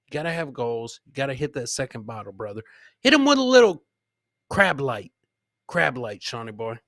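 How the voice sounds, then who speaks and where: A man's voice: mid-range voice, big pitch swings, normal speed, a bit bright, no room sound, studio quality. A man hosting a casual live comedy show, commenting on clips, repeating his phrases and talking to his producer and viewers. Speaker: podcast host.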